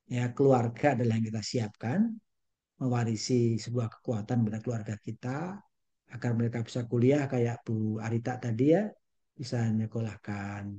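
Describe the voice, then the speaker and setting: Older man lecturing, mellow voice. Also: medium pitch, dull audio. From Indonesia. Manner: folksy, peppered with English phrases and rhymes. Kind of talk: online lecture. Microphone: video call.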